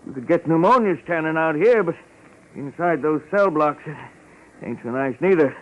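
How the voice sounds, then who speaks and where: Actor as stammering old ex-con street peddler. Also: male voice, fairly high pitch, animated, normal speed, lightly acted. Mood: nervous pleading. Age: older. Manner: stammering, repeating himself, streetwise slangy speech. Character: nervous aging ex-convict street chestnut peddler, humble, pleading. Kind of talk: 1950s radio detective drama acting. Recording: noisy muffled old radio recording.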